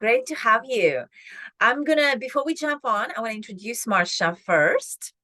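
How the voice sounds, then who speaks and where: A woman's voice: a little high, lively intonation, normal speed, sharp tone, no room sound. A woman hosting an interview podcast who greets and introduces her guest effusively. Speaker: podcast host.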